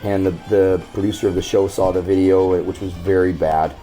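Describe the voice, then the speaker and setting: A man from Canada with a very low voice tells his stories loudly and at ease, with a frank, joking edge. Soft music plays faintly behind him. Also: fairly quick, low-quality recording. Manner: loud, relaxed storyteller with a frank sense of humor. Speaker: tribute singer being interviewed. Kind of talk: casual interview.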